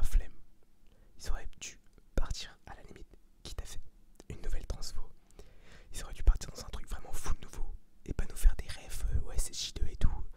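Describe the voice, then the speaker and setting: An eighteen-year-old man gives an informal ASMR review in a breathy whisper, slangy, sweary and blunt. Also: mellow. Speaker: ASMR creator reviewing a film.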